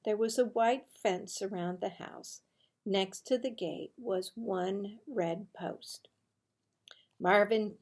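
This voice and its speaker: A woman reading a children's storybook aloud in a deliberate, expressive storytelling way. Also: medium pitch, vocal fry.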